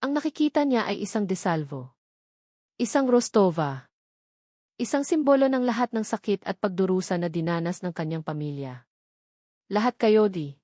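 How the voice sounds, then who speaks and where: A woman's voice: medium pitch, big pitch swings, normal speed, a little mellow, no room sound, clean studio sound. A woman reading a scripted romance story as a dramatic narrator.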